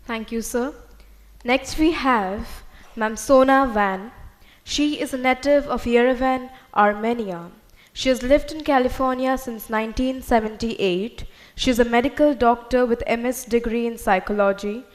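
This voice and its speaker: Polite woman host with a higher voice. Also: measured pace. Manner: formal, polite announcing. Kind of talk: formal introduction. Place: festival hall.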